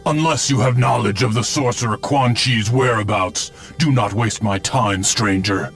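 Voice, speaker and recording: An actor voicing a vengeful undead ninja specter, stern, menacing and formal, in a video game cutscene. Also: male voice, medium pitch, normal speed, vocal fry, fairly bright tone. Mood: grim, menacing. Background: music.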